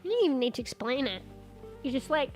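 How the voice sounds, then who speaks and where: A woman's voice: high-pitched, very animated, fast, soft background music. A congested woman, rambling in casual live-stream chat as a streamer, swearing and reading search results aloud. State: congested, losing her voice.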